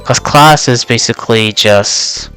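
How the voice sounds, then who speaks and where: A young man whose first language is Vietnamese introduces himself as a VTuber in a loose, rambling way, leaning on filler words as he goes. His voice sits at a middle pitch and comes through smooth and resonant, though it sounds slightly worn out. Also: unhurried, sharp tone, faint music behind. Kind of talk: casual in-character self-introduction.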